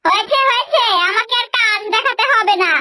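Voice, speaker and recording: A woman's voice: very high-pitched, a little fast, pushed and bright, slight background noise, exaggerated voice. A woman voicing a harsh, sarcastic stepmother in a fairy-tale cartoon, nagging and threatening. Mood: scolding.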